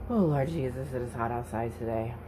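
A woman's voice: rumbling low, background noise. A woman in her thirties from California, chatting casually to her phone camera, first in a parked truck and then at home, sighing and grumbling. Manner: slow, sighing, grumbling complaints. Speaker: vlogger. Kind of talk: casual vlog chat. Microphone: phone at arm's length.

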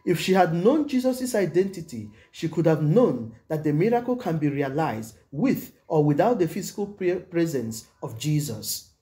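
Mellow-voiced priest with lively intonation. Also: male voice, a little high. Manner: measured, solemn, formal delivery. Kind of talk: scripted homily. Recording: music behind the voice. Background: background music.

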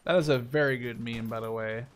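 A man's voice: medium pitch, fairly even intonation, brisk, slight background noise. A man in his twenties giving casual let's play commentary, rambling and repeating himself, with casual swearing. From Netherlands. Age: 20s. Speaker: gaming video host.

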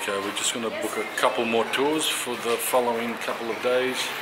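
A man's voice: medium pitch, steady tone, brisk. A middle-aged man, chatting casually to a handheld camera as he tours a cafe and hotel, recommending places. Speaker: travel vlogger. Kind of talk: casual travel vlog. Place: cafe, street and hotel lobby. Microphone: handheld camera at arm's length. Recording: loud street and room noise.

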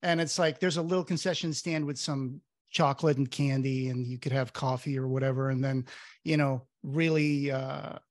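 A man's voice: mid-range voice, fairly even intonation, no room sound, studio quality. A man from Portugal whose first language is Portuguese, co-hosting a casual interview over a video call with headphones on. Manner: friendly, quick agreeing backchannels. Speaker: podcast co-host. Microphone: headphones and webcam on video call.

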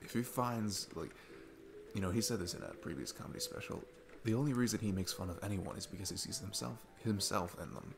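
Man playing a low, gravelly, chatty sweet dad. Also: background noise. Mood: earnest, admiring. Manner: rambling, enthusiastic, halting with many restarts. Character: sweet, chatty single dad on a first date. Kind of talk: ASMR audio roleplay, casual rambling talk.

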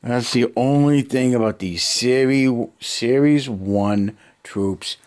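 A man's voice: mid-range voice, takes it slowly, darkish tone. An American man in his fifties chatting casually to camera in an unscripted way, thinking aloud in short muttered bursts in a basement hobby room. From United States. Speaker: hobby vlogger. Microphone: handheld camera near arm's length.

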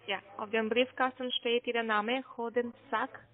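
A woman's voice: a little high, little pitch movement, unhurried. A woman call center agent making a sales phone call in German as a non-native speaker. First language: not German. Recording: muffled narrow phone line.

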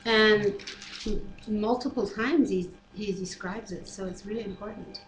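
A woman's voice: mid-range voice, unhurried. A middle-aged woman reading scripture aloud with casual commentary at home, picked up by a computer webcam microphone. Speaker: Bible reader and discussion co-host. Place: living room at home. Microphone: computer webcam mic at desk distance. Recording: roomy, echoey home webcam, faint background noise.

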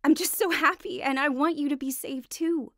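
A woman's voice: high voice, moderate pace, no room sound, clean studio sound, natural voice. An actress playing an earnest, devout wife in a radio drama, acting and narrating her heartfelt testimony. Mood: earnest, eager.

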